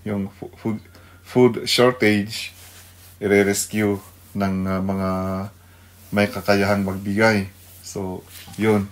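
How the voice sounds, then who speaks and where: A man with a Filipino accent giving an unscripted devotional talk as a radio host, haltingly searching for words. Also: on the low side, flat delivery.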